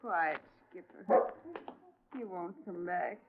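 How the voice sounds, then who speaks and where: An actress playing a poor, grieving old widow in a 1950s radio drama, speaking in a halting, subdued, gentle way. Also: female voice, lowish voice. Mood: sorrowful, weary. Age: older. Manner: halting, subdued, short sentences. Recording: crackly, narrowband old radio recording.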